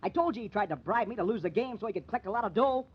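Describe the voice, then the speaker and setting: A man playing an honest, stubborn, hot-headed baseball pitcher in an old-time radio crime drama, with an American accent and slangy, defiant tough talk. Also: expressive, racing, slightly rough, dull audio. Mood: agitated, defensive.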